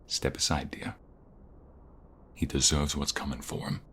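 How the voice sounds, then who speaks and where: Man acting a very deep, gravelly, menacing mobster. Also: little pitch movement, unhurried, fairly bright tone, slight background noise, heavily acted. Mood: outraged. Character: protective, hot-tempered male mobster, tender with his sweetheart. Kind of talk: audio roleplay acting.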